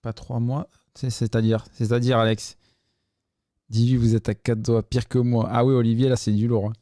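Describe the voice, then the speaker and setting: A man's voice: mid-range voice, level tone, normal speed, mellow, dry recording, clean studio sound. A man hosting a phone prank in casual banter, repeating phrases and peppering his talk with slang. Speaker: prank show host.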